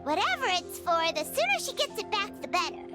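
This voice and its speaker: A woman voicing a small, chirpy, excitable childlike fairy companion in a video game, with squeaky, expressive delivery. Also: fairly quick. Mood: eager. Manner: exaggerated, expressive reactions. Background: game music.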